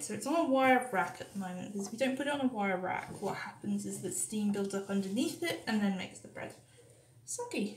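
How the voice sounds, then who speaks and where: A young woman talks through a home baking demo in an easy, chatty way, dropping ums and playful asides as she goes. Her voice sits at an ordinary middle pitch. The sound comes from a camera mic on the counter and bounces around a small, echoey kitchen. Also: a little hiss or hum. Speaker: home cooking vlogger. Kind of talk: casual cooking demonstration with history asides. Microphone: camera mic on the counter, speaker moving around.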